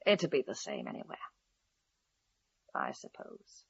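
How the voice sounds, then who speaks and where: A woman's voice: rumbling low, huge pitch swings, measured pace, vocal fry, slightly rough, full character voice. An actress playing an embittered, disillusioned middle-aged mother in a radio drama, theatrical and scornful. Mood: weary, cynical. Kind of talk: British radio drama acting. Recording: dull, echoey radio play recording.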